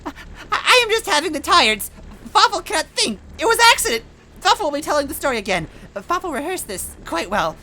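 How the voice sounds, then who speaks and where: Villain's sidekick, shrill, sharp, wheezy, frantic, fractured grammar, storybook character. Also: male voice, sing-song, brisk, slight background noise, full character voice. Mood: panicked. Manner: broken grammar, speaks of himself in third person.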